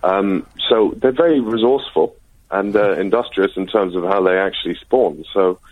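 A middle-aged man with a head cold, sounding slightly croaky, calling a radio phone-in and explaining casually and a little hesitantly. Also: deep voice, normal speed, dry recording. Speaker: phone-in caller. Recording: muffled, narrow phone line on radio.

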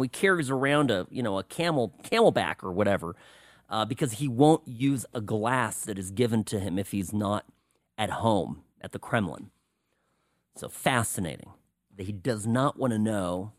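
A man's voice: fairly low pitch, dry recording. Talk radio guy ranting solo, voice jumps all over, sarcastic asides, dead dry booth. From United States. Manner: animated, sarcastic, confiding asides. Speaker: radio talk show host. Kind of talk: political talk radio monologue.

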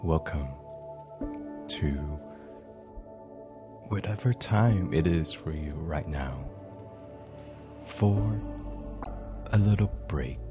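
A man leading a sleep meditation in an ASMR style, gentle and soothing, breathy and often half-whispered. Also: rumbling low, expressive, very slow, long pauses, music in the background. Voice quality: breathy, hushed. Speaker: guided meditation host.

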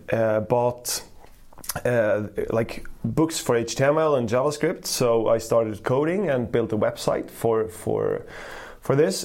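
Halting Swiss-Swedish man, interview guest. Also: mid-range voice, a little mellow. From Switzerland and Sweden. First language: Swiss German. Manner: hesitant, many fillers and restarts. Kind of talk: casual interview chat.

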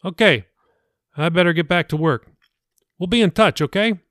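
A man's voice: high voice, normal speed, fairly bright tone, dry recording, clean studio sound, voice pushed up. A man voicing a friendly, easygoing pastor in an audiobook, talking casually.

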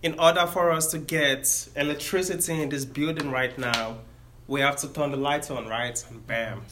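A man's voice: on the high side, steady tone, moderate pace, background noise. A man in his thirties with a Nigerian accent, giving a friendly career talk to students and explaining step by step.

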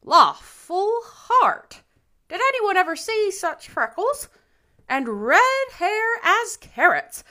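A woman reader puts on an opinionated older village lady in a storybook, blunt and easily scandalized. The voice is high and sharp, with emphatic swoops on every judgment. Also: very animated, takes it slowly, full character voice. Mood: critical.

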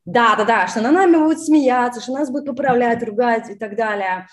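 A woman whose first language is Russian talks in a loose, casual conversation, her voice sitting a little on the high side. She rambles with fillers and quick restarts. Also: crowd noise. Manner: chatty, frequent fillers and restarts.

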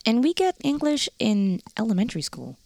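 A woman's voice: on the high side, big pitch swings, fairly quick, creaky, mellow, dry recording. A woman from the Netherlands with a Dutch accent, Dutch as her first language, speaking frankly as an interview guest in casual chat.

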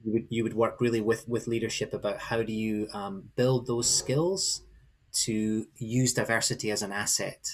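A man's voice: on the low side, fairly even intonation, normal speed. A man hosting a podcast, asking long, winding questions in a conversational way. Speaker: podcast host. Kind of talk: podcast interview.

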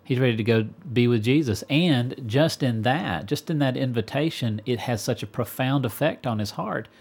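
A man's voice: mid-range voice, moderate pace, a little mellow, breathy edge. A man co-hosting a religious radio program, speaking earnestly and repeating phrases for emphasis. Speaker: radio co-host and preacher. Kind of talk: religious talk radio discussion.

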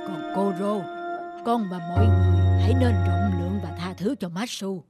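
A woman voicing a wise, forgiving old male village elder who speaks in a measured, moralizing way in an animated folk tale. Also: medium pitch, close to an everyday voice. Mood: wise, soothing. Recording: loud music over the voice. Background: music.

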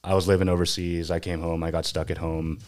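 A young man from Pasadena chats easily as a podcast guest, wandering through his thoughts with plenty of fillers. His voice is very deep and smooth. The sound is close and dry. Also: level tone, fast, a little mellow, no room sound. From Pasadena, California. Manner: easygoing, rambling, filler-heavy. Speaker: podcast guest, van builder. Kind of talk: casual podcast chat.

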